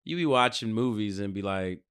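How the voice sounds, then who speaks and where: Bright, clear-voiced American man, joking. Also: fairly low pitch, fairly quick. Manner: slangy, profane, joking. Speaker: podcast co-host. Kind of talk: casual comedic podcast chat.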